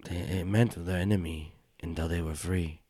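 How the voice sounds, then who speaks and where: A man voicing an ancient male spider-bodied outcast creature who speaks slowly in a hushed, halting way, often near a whisper. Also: low voice, steady tone, husky, no echo, clean studio sound. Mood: solemn. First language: not English. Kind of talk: in-character tabletop roleplay.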